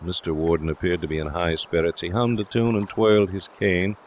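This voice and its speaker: A man's voice: deep voice, little pitch movement, brisk, slight background noise. A man reading scripted literary narration as an audiobook narrator.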